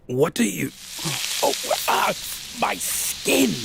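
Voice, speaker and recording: A man's voice: high voice, very animated, takes it slowly, creaky, noisy background, full character voice. A man playing a haughty, sadistic vampire captor in an ASMR roleplay, with a drawling, condescending, menacing and archly formal manner. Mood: pained indignation.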